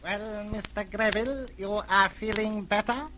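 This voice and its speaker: An actress playing a mysterious Eurasian woman soothing a patient in an old radio drama, with deliberate, coaxing speech. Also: mid-range voice, lightly acted. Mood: soothing, guarded. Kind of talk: 1930s-40s radio drama acting. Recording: noisy vintage radio recording.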